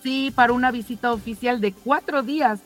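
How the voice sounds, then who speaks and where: A woman's voice: on the high side, brisk. Woman chattily presenting news over music. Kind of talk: informal news commentary.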